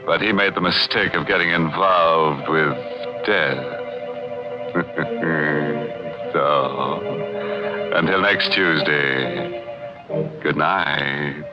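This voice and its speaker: A man's voice: extremely low pitch, very slow. A man hosting a 1940s radio horror show with a macabre, teasing, mock-sinister drawl. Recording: muffled 1940s radio recording, music behind. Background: music.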